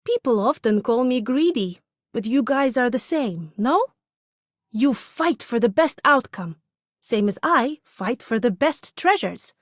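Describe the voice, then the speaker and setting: A woman's voice: on the high side, animated, a little slow, no echo, studio quality. A woman voicing a roguish, self-assured treasure hunter in a video game. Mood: teasing, fond. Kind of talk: video game character voice lines.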